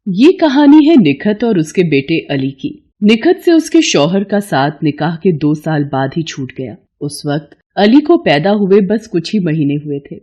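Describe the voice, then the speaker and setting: A woman's voice: lowish voice, sing-song, a little slow, few pauses, dark tone. Woman narrating a moral story.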